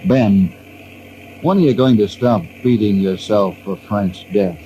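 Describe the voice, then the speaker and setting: Actor as low, mellow, caring radio hero. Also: male voice, measured pace, lo-fi sound, natural voice. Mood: earnest. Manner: earnest, reassuring. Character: steady, caring outdoorsman hero, concerned friend. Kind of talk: old-time radio drama acting. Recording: noisy old radio recording.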